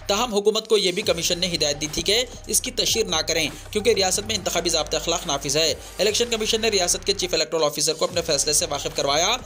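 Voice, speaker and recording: A man's voice: high voice, bright, forceful tone. A man reads a scripted news bulletin in a formal, declarative way. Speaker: news reader.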